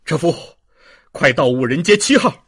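A man voicing a passionate young gentleman in an audiobook, emphatic and declamatory, overwhelmed with grateful emotion. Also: a little high, sing-song, normal speed, husky, exaggerated voice. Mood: urgent.

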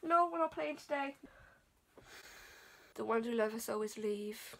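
A woman's voice: fairly high pitch, lively intonation, a little slow, creaky. A South Wales woman in her twenties, chatting bubbly and self-deprecating in a casual bedroom vlog, filmed on a camera at desk distance. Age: 20s. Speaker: vlogger. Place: small bedroom indoors.

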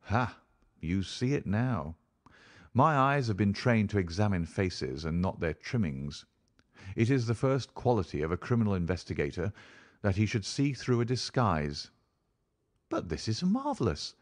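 A commanding storybook detective, acted out by a male reader, relishing the hunt. He is precise and assured, with a theatrical delivery that swings widely in pitch and a dry streak of amusement. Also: lively intonation, normal speed, lightly acted. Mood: triumphant. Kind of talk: audiobook character voice.

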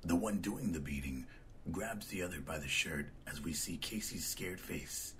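A man's voice: deep voice, moderate pace, fairly bright tone. A man reading scripted narration that describes comic panels.

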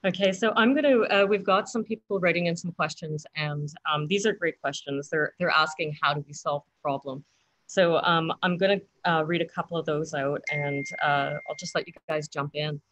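A woman's voice: sits low, normal speed, a bit bright, no echo, low-quality recording. A woman moderating a webinar panel over a video call, hesitant with many fillers as she brings in questions.

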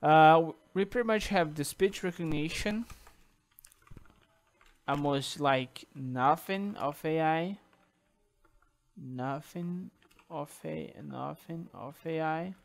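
A man whose first language is Portuguese, talking through a coding tutorial over a screen recording, halting with restarts and checking in with "okay?". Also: on the high side, vocal fry. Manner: halting, many restarts and "uh", tags sentences with "okay?". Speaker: programming tutor.

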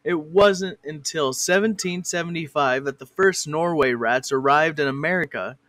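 A man's voice: on the high side, moderate pace, a bit bright, no echo. A man narrating a comic fan parody of an educational cartoon, breaking off to swear and argue with a director.

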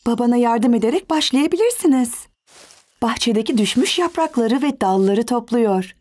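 A woman playing a kindly, encouraging mother of young children in a cartoon dub, with a gentle manner. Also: a little high, lively intonation, moderate pace. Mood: pleased.

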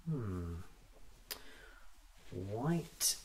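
A man in his thirties doing a soft-spoken ASMR roleplay as a fussy, eccentric doctor musing to himself, often slipping into a whisper. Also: lowish voice, very animated, extremely slow. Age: 30s. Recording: clearly audible background noise.

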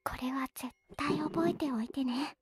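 A woman playing a gentle, doting older sister in an anime ASMR roleplay, speaking softly and breathily, often in a whisper. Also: high-pitched, measured pace, music in the background. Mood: soothing, doting.

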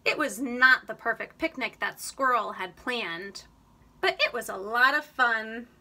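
A woman in her thirties reading a children's picture book aloud, slow and expressive, recorded on a webcam in a small room. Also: a little high. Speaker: read-aloud reader. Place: indoor room, under a sloped ceiling.

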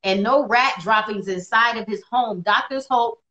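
A woman in her thirties co-hosting a casual live video chat from home, animated and quick to laugh, on a phone or laptop mic. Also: fairly high pitch, dull audio. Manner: animated, laughs often, bright delivery. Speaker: co-host. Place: indoors at home. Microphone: phone or laptop camera on video call.

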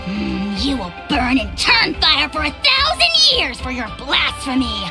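A woman's voice: high-pitched, very animated, measured pace, exaggerated voice. A woman voicing a tiny, haughty dragon lord who speaks grandly in the royal we, in a video game with music underneath. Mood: pompous. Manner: grandiloquent, royal we, scornful laughs.

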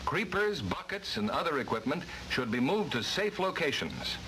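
A man's voice: fairly high pitch, big pitch swings, moderate pace, pushed and bright, a little hiss or hum, poor audio. A man reading formal, precise instructional narration for a vintage training film, on a worn old soundtrack.

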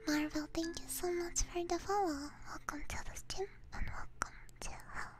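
A woman whispering softly and soothingly in ASMR livestream chat, very close to a binaural microphone. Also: extremely high pitch, fairly even intonation, moderate pace. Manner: soft, breathy, soothing whispering.

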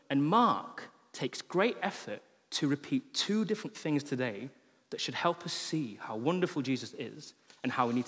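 Man preaching in a reverberant church hall. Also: a little high. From England. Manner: conversational and humorous, with animated gestures. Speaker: pastor. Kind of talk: sermon. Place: large church hall, on stage. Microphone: headset mic.

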